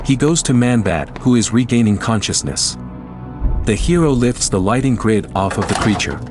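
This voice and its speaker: A man's voice: mid-range voice, expressive, moderate pace, over music. A man narrating an action audio drama from a script.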